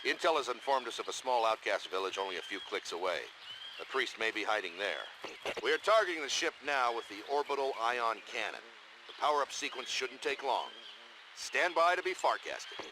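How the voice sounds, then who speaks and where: A man acts out a gruff military commander for a video game. He briefs his squad with clipped authority and a businesslike tone, over loud background noise. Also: medium pitch, sing-song, normal speed, natural voice. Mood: measured. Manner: terse, authoritative, giving orders. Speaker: mission commander. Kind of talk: video game cutscene acting. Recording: very noisy game audio.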